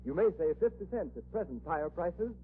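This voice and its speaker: A man as a 1940s radio announcer, emphatic and dramatic, addressing listeners in a fairly high voice. The delivery is urgent advertising patter. The recording is narrow and old, with a faint hum underneath. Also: lively intonation, brisk, lightly acted. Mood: urgent, cautioning. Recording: scratchy old radio broadcast, muffled, hum.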